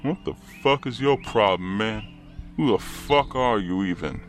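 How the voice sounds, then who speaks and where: Man playing a belligerent low-voiced drunk. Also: animated, a little slow. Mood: aggressive. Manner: crude, swearing, hostile. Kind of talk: audio drama acting.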